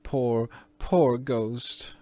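A man voicing a gentle, brave little girl in a storybook reading, raising his voice into a soft, earnest tone. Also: medium pitch, steady tone, extremely slow, no room sound, voice pushed up. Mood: tender, earnest.

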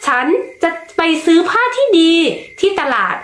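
The shoemaker's kindly old wife in a storybook answers eagerly and sweetly, as a woman voices her. Her voice is high and moves up and down a lot. The recording has some room echo. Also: sing-song, a little slow, fairly bright tone, faint music behind, heavily acted.

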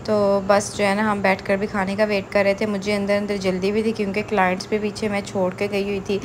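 A young woman talks casually into a close phone mic, her plain and her delivery even and unhurried. She leans on filler phrases as she chats through her salon work. A clear hum of room ambience sits under her voice. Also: medium pitch. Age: young adult. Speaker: salon owner and beauty vlogger. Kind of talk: casual vlog narration.

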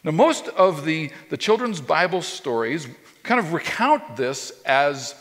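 Animated pastor preaching in a reverberant church. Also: male voice, fairly high pitch, a little slow. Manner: conversational, animated, many restarts and repeated words. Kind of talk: sermon. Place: church stage with a drum kit behind, indoors. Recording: long reverb of a church hall.

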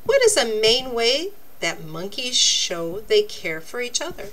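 A woman reads a children's nonfiction book at an unhurried pace. Her fairly high voice swings widely in pitch, and she phrases things deliberately, like a teacher. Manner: deliberate, expressive teacherly reading. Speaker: narrator reading a children's book aloud. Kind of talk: read-aloud children's nonfiction. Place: indoors, book laid open before a camera.

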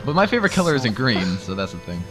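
A man co-hosting a gaming video in casual, teasing banter with excitable interjections. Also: mid-range voice, quick pace. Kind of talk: casual joking gameplay banter. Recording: game music behind the voice. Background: video game music.

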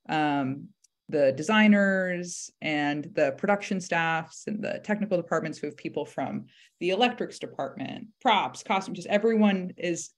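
A woman in her thirties, a director and panel guest, explaining things conversationally on a video call in a hesitant, thoughtful, step-by-step way. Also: lowish voice. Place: indoor room, video call. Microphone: video call microphone.

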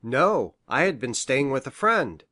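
A woman acts out an earnest, deferential doctor from a classic storybook, a gentleman with a lowered voice. The phrasing is formal and swings widely in pitch, in a dry recording. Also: very animated, a little slow, no room sound, heavily acted. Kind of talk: acted audiobook dialogue.

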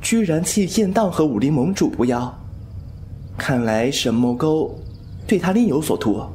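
A man's voice: fairly high pitch, lively intonation, normal speed, a little mellow, slight background noise, lightly acted. A man playing a young wuxia wanderer in an audio drama, teasing and sardonic, given to sighs. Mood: wry, curious. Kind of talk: Mandarin audio drama acting. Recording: background music under voice.

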